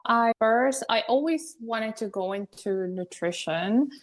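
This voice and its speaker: A woman's voice: a little high, takes it slowly. A young woman from Venezuela chats as a podcast guest. She talks loosely and openly, laughing often and restarting her sentences. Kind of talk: casual interview conversation.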